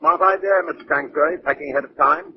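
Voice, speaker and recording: An actor playing a chatty, breezy and obliging ship's steward in an old-time radio drama. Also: male voice, on the high side, quick pace, natural voice. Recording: muffled, noisy old radio, distant mic.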